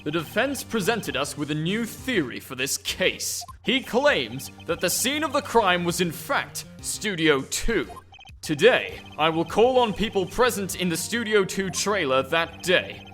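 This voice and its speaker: A haughty male prosecutor, acted by a man, high in pitch and very animated. He declaims formally and precisely in game fan-dub style over faint music. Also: measured pace, exaggerated voice. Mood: assured. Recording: faint background music behind voice.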